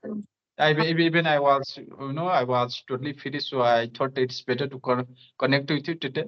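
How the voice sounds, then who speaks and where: A man's voice: mid-range voice, steady tone, fairly quick, dull audio. A young man from Nepal, a guest in a casual conversation, speaking hesitantly with many fillers.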